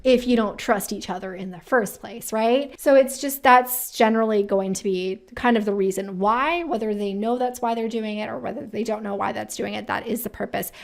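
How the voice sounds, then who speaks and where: A woman's voice: mid-range voice, normal speed, gravelly, few pauses. A woman giving casual, chatty commentary as a video host, opinionated and informal with slangy asides. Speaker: career advice video host.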